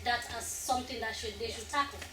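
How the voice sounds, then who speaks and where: A woman's voice: a little high, fast talker. A young woman from Africa, the playwright and an actress, earnestly explaining at length to an audience after a stage play. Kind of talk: post-show question and answer. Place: college theater hall. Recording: echoey hall, far from the mic, noisy.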